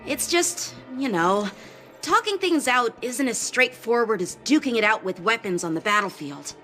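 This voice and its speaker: A woman's voice: a little high, lively intonation, moderate pace, a bit bright, soft background music. An actress playing a practical young female officer who talks in a casual, friendly way. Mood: earnest. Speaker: military officer. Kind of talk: game voice acting.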